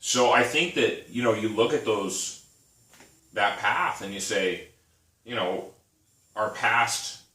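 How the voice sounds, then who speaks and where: A man's voice: fairly low pitch, slow, long pauses, sharp tone. A man in his thirties co-hosting a casual chat, rambling through stories and laughing often. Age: 30s. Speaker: podcast co-host. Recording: echoey room, mic at a distance.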